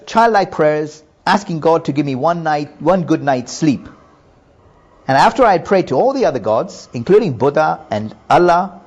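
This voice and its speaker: A middle-aged man calmly telling his personal faith testimony in an earnest, measured way. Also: fairly high pitch. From Hong Kong and Japan. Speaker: businessman giving testimony.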